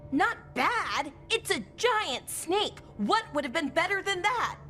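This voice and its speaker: An actress voicing a curious, questioning companion in a fantasy video game. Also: female voice, very high voice, sing-song, slow pace, bright, forceful tone, full character voice. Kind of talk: video game cutscene dialogue. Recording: game music behind the voice.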